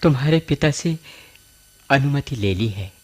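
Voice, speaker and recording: A man acting a remorseful, earnest husband in a Hindi radio play, pleading in a halting, solemn way. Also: medium pitch, expressive.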